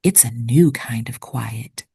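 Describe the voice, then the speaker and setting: A woman narrating for children like a teacher, with deliberate, expressive enunciation. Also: a bass voice, gravelly, slightly rough. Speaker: read-aloud host and narrator. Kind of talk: children's picture book read-aloud with vocabulary teaching.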